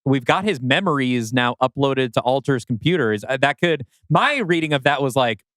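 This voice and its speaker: A man's voice: mid-range voice, lively intonation, normal speed, a bit bright, no echo. A man co-hosting a podcast in casual creative discussion. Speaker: podcast co-host.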